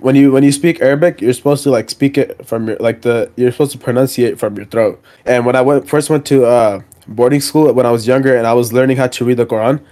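A young man co-hosting a casual podcast, explaining things in a halting, rambling way with many restarts. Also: medium pitch, warm tone. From Pakistani family background. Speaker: podcast co-host. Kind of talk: casual chat.

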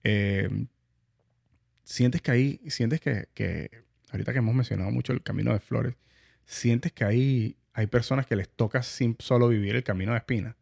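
A man with a Venezuelan accent hosting a casual, reflective conversation, haltingly repeating words as he thinks aloud. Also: mid-range voice, vocal fry, a little mellow. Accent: Venezuelan Spanish. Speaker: interview host.

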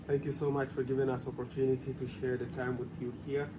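A man in his forties from Ghana, guest speaker at an evening talk, speaking softly and haltingly with many pauses and fillers. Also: mid-range voice, flat delivery, noisy background. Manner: soft-spoken, hesitant with many fillers. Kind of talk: casual lecture talk.

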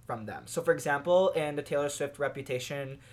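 A man in his twenties from the Philippines, co-hosting a casual podcast in a relaxed, friendly way with many fillers. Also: fairly high pitch, fairly quick. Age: 20s. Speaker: podcast co-host. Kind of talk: casual chat.

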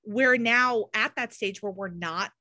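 A woman's voice: mid-range voice, measured pace, pushed and bright. A woman of about thirty hosting a casual podcast chat, emphatic and peppering her talk with "like". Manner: emphatic, frequent "like" filler. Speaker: podcast host.

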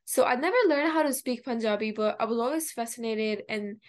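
A woman's voice: fairly high pitch, fairly quick. A young woman from Pakistan, a native Urdu speaker, co-hosting a radio show in a chatty, informal way. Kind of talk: casual radio chat.

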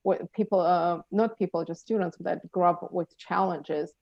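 A woman interviewer whose first language is not English, asking questions haltingly with many fillers and restarts. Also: lowish voice, steady tone, creaky, dry recording, highs cut off. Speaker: interviewer, doctoral student. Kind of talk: academic interview.

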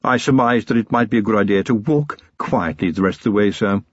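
A man voicing an irreverent manservant and coachman with a deadpan, politely sardonic manner in an audiobook. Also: fairly low pitch, fairly quick, no echo, highs cut off, lightly acted.

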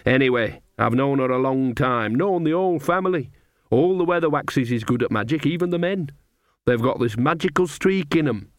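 A man's voice: mid-range voice, animated, normal speed, no echo, studio quality, natural voice. A man doing a drawling, gossipy old witch. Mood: drawling gossip. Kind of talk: audiobook character voice.

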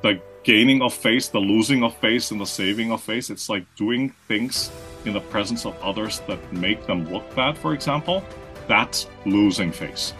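A man's voice: lowish voice, moderate pace, bright, forceful tone, soft background music. A man from Austria, raised in the Philippines, giving conversational interview answers, explaining at length and often checking in with "right?". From Austria, grew up in the Philippines. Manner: explanatory, frequent "right?" tags and restarts. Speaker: guest, marketing consultant.

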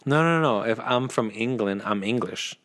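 An American man co-hosting a podcast, chatting informally with quick interjections and a self-deprecating manner. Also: medium pitch, animated, a bit bright. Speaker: podcast co-host. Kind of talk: casual chat.

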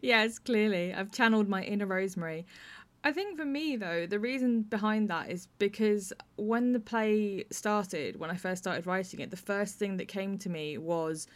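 A woman's voice: medium pitch, normal speed. A British woman hosting a podcast, talking conversationally about theatre writing as a playwright. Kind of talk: conversational interview and discussion.

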